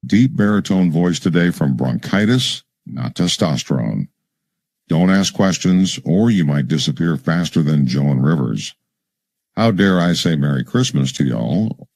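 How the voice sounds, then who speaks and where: A man voicing a jokey uncle figure in a parody political ad, with a deep baritone voice. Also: animated, moderate pace, darkish tone, dry recording, exaggerated voice. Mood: mocking. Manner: mocking, jokey. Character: comic uncle character with deep bronchitis voice.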